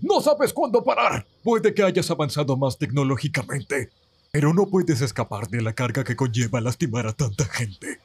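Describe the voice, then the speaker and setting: Male actor as earnest frightened everyman in animated drama, Latin American accent, lively pitch, husky. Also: normal speed. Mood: pleading. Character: frightened, defiant man pleading with his captor. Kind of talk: amateur animated fandub acting.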